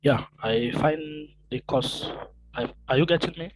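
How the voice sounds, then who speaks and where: A man's voice: medium pitch, low-quality recording. Polite man from Cameroon on a video call. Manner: polite, halting restarts. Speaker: student.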